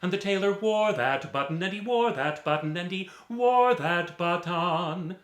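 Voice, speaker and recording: A man's voice: high-pitched, animated, roomy sound. A man telling a folk tale as a theatrical storyteller, dramatic and rhythmic, drawing out repeated phrases. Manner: dramatic, rhythmic with drawn-out repetitions.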